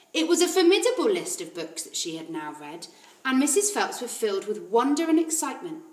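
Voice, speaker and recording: Woman, children's story narration, teacherly, expressive, medium pitch, very varied melody, roomy echo. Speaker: teacher reading aloud.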